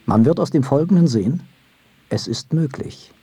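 A man with a husky voice giving a scripted reading of a literary text. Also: mid-range voice, lively intonation, normal speed, dark tone, no echo.

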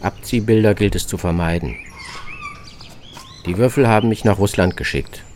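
A man's voice: fairly low pitch, moderate pace, darkish tone, a little hiss or hum. A man reading scripted first-person radio narration in terse, fragmentary phrases. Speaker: narrator of a radio travel piece. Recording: music behind the voice. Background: music.

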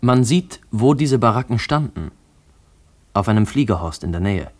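A detached researcher narrates matter-of-factly. His voice is fairly low and his phrasing clipped, as in a radio play. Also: expressive, normal speed, darkish tone. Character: detached male researcher studying archive records on screen.

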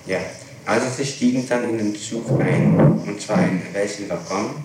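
A man's voice: on the low side, moderate pace. A man questioning formally and methodically as a prosecutor in court, pressing insistently for details. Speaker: public prosecutor. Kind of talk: courtroom interrogation. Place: large echoing room, distant mic. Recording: very echoey, noisy archival tape, far off.

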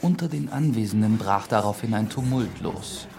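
Earnest young priest, gravelly edge. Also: male voice, fairly low pitch, expressive, music in the background. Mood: earnest, formal. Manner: formal, measured, humble. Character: earnest, deferential young priest narrating his report. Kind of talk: radio drama letter narration.

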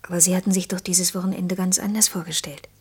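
An actress playing a gentle, pensive, earnest woman in a quiet radio play, speaking in a breathy, lyrical, hushed storytelling manner. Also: lowish voice, steady tone, no room sound. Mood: subdued, apologetic. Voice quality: breathy, husky. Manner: hushed, slow, lyrical storytelling that slips into near-whisper. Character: gentle, pensive woman telling a fairy tale, gravely earnest. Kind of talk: quiet, intimate German radio play acting.

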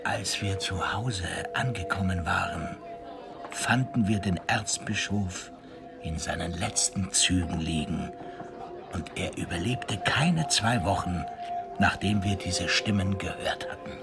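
A police commandant, grave, savoring storyteller, theatrical, radio play, music underneath. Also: male voice, deep voice, a little slow, creaky. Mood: hushed storytelling. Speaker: actor. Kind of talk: German radio crime drama acting. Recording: music bed under studio radio drama.

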